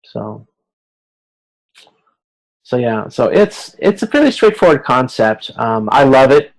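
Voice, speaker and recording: A man's voice: medium pitch, sing-song, takes it slowly, vocal fry, poor audio. Man lecturing informally on a video call. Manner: colloquial, explains with quoted hypothetical remarks. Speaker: lecturer in supply chain management.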